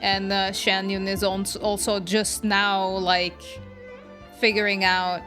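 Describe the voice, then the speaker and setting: A woman's voice: mid-range voice, little pitch movement, slow pace, a bit bright. A woman streaming a game with casual, chatty, musing reactions, game music playing behind her. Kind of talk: casual live reaction commentary.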